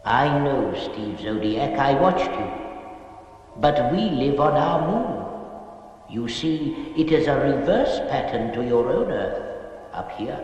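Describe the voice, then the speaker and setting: An actor playing a grave, gentle alien elder in a science fiction series, speaking in measured, formal phrases. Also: male voice, mid-range voice, sing-song. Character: solemn, gentle alien elder of a peaceful race. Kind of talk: 1960s children's science fiction puppet series acting. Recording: dull recording, long cavernous reverb, music behind.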